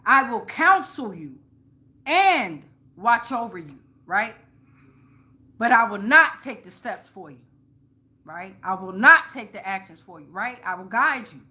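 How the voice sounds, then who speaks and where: A woman's voice: on the high side, sing-song, a little slow, pushed and bright. A woman hosting a casual spiritual tarot reading, blunt and slangy, swearing freely and repeating phrases for emphasis. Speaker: tarot reader, show host.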